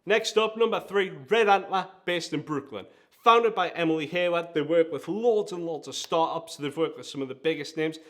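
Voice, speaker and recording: A man's voice: fairly high pitch, moderate pace, fairly bright tone. A man with a Geordie accent hosting a countdown-style video, talking in an enthusiastic, emphatic way. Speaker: video host, design agency founder. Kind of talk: presenter-style vlog countdown.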